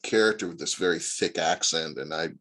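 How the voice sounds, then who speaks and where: A man in his forties from Indiana, talking as a guest in a relaxed interview. His voice sits very low and has a husky edge. He tells long, winding stories loaded with fillers. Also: a little fast. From Indiana, USA. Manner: rambling storyteller with many fillers. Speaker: guest actor. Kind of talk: casual interview chat.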